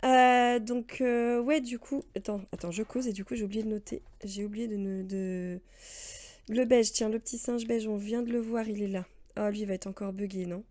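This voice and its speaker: A woman's voice: mid-range voice, steady tone, normal speed, darkish tone. A woman in her thirties, chatting casually while streaming a game, rambling with many fillers and answering her viewers. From France. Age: 30s. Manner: rambling, chatty, laughs often, answers chat. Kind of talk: casual let's-play chat.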